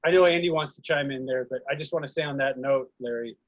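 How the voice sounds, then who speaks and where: A man's voice: mid-range voice, quick pace, dry recording, dull audio. A man hosting a casual discussion about theatre, speaking hesitantly and diplomatically as he hands over to others. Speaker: host, actor and director.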